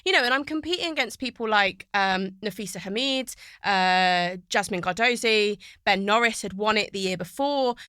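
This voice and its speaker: Woman podcast guest, crisp bright voice, dry studio sound, thoughtful storytelling. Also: mid-range voice, moderate pace, few pauses, no room sound. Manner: fluent, reflective storytelling. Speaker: podcast guest, poet and TV writer. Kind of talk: casual podcast conversation. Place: recording studio.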